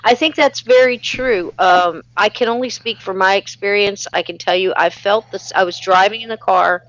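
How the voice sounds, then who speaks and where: A woman's voice: medium pitch, moderate pace, a bit bright, dry recording, highs cut off. Chatty woman hosting a live stream. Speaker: live-show host. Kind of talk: casual live-stream chat, reading viewer comments.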